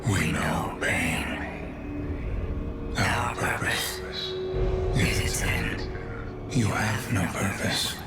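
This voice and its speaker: An actor playing a cold, ancient godlike alien overlord in a video game, solemn, measured and menacing. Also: male voice, low voice, over loud music. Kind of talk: dramatic video game acting.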